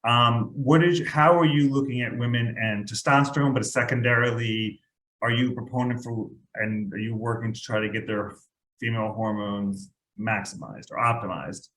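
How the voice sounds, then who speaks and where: A man's voice: medium pitch, fairly even intonation, moderate pace, a bit bright, echoey room, low-quality recording. A male podcast host asking a guest questions in a rambling, casual way. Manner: rambling, restarts questions, laughs lightly. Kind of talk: interview podcast, conversational questions. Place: New York.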